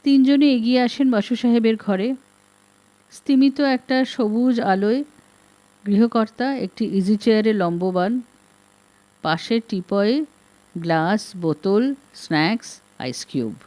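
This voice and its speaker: A woman reading a story aloud as an audiobook, in expressive scripted narration. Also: on the high side, measured pace, long pauses. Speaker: audiobook reader.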